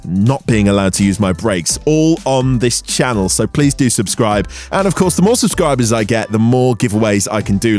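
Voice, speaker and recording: A British man giving a voice-over outro to his viewers as a video host. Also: mid-range voice. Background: music.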